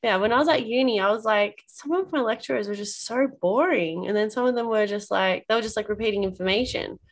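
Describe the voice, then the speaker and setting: A woman's voice: medium pitch, a little fast. A woman hosting a podcast interview, chatting casually and responding with enthusiastic affirmations. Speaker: podcast host.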